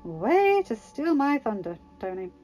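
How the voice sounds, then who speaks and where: A woman's voice: a little high, sing-song, a little slow, a little mellow, muffled recording, faint music behind. A woman voicing a stern, bossy female secret agent in an exasperated, commanding manner. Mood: demanding.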